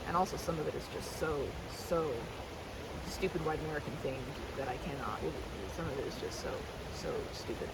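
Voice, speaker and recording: A woman chatting in a rambling, halting, earnest way, as if to a best friend in an ASMR roleplay, repeating herself and delivering things expressively. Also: low voice, very noisy. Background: riverside park ambience.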